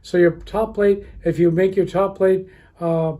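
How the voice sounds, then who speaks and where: Casting: man, 50s, informal and blunt industry vlogger, slightly high but soft-edged, dark tone, noisy room behind. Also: steady tone, a little slow. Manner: blunt, informal, occasionally swearing. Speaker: speaker manufacturer presenting a video. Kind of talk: casual off-the-cuff industry talk. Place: indoor audio room with wood-block wall. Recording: clearly noisy room ambience.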